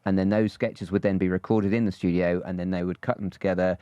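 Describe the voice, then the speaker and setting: Low-voiced rambling male co-host. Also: little pitch movement, quick pace, dark tone, clean tone, no room sound, dull audio. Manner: rambling, hesitant, many restarts. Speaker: podcast co-host. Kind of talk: casual chat.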